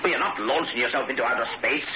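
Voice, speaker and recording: An actor playing a scheming, bossy intelligence Major in a radio drama, with a clipped, slangy British delivery and dry sarcasm. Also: male voice, medium pitch, fast, natural voice. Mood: urgent, bossy. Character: brusque, scheming male intelligence Major, bossy and dryly sardonic. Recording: noisy, hissy radio drama recording.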